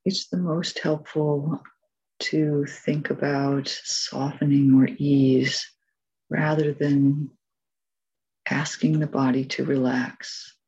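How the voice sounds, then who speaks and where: A woman leading a guided meditation as its teacher, giving gentle, measured instructions with long pauses. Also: low voice, level tone, husky, muffled recording.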